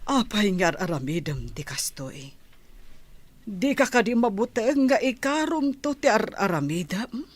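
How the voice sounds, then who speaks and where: A woman's voice: medium pitch, big pitch swings, unhurried, dry recording. A woman with an Ilocano accent playing a worried, careful village mother and wife in a radio drama. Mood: anxious pleading.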